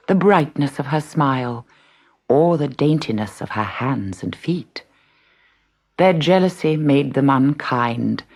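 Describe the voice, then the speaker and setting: A woman storyteller giving an expressive scripted reading of a fairy tale. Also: a bass voice, slight background noise.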